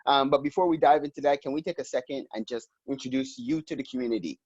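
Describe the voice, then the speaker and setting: A man's voice: mid-range voice, fast talker, darkish tone, no room sound. A man hosting a community interview, casual and upbeat with slangy talk. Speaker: host. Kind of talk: casual interview over video call. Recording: dry, lo-fi call audio.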